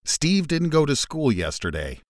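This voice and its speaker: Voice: mid-range voice, sing-song, measured pace, no room sound, studio quality. A speaker reading the translation of each drill sentence in a short scripted read-out. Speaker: English translation reader.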